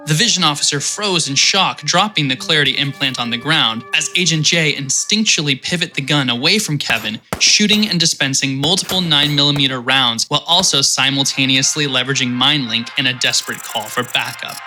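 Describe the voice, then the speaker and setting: A man's voice: medium pitch, a little fast, few pauses, bright, forceful tone, faint music behind. A man narrating an audio drama in vivid storytelling style with comic asides.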